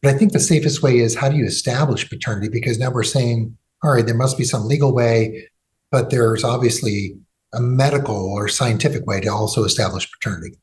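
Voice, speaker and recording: A man's voice: mid-range voice, fairly quick. A man hosting a legal podcast, talking in a loose conversational way with fillers and restarts. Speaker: podcast host and law firm executive.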